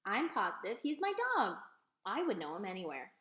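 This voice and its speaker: Woman playing young girl, earnest, polite, eager, storybook dialogue, lively intonation, roomy sound. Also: medium pitch, moderate pace, lo-fi sound. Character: earnest, polite young girl who loves her dog.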